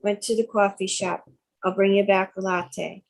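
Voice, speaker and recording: A woman's voice: medium pitch, fairly even intonation, moderate pace, creaky. A woman reading stage directions as scripted narration for a table read.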